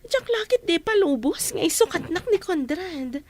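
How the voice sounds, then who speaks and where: Woman playing a high-voiced, bossy scheming wife. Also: expressive, normal speed, slight background noise. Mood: greedy, scheming. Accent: Ilocano Filipino. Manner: imperious, scolding. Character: bossy, greedy, scheming wife and mistress of household. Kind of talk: comedy radio drama acting.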